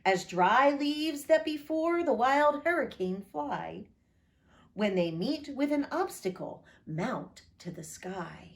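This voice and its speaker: Slow, expressive woman pastor reading aloud. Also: sits high. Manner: expressive, lilting read-aloud delivery; friendly direct address to listeners.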